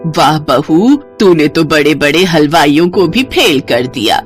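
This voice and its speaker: A woman's voice: sits low, animated, a little slow, soft background music. A woman playing a caring elderly village mother-in-law in a storybook tale, speaking earnestly. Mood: delighted.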